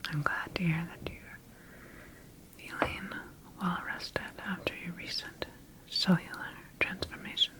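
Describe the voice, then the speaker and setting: A woman acts out a calm female scientist in a sci-fi ASMR roleplay. She whispers in a fairly low, breathy voice. Her delivery is gentle and measured, with a clinical air as she attends to her creation. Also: lightly acted, rough recording. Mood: gentle, reassuring.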